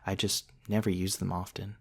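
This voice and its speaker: A man playing a gentle, courtly, centuries-old vampire prince in a soft, intimate ASMR roleplay, tender and hesitant with old-fashioned politeness. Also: low voice, little pitch movement, normal speed, vocal fry, husky, heavily acted. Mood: modest, lightly amused.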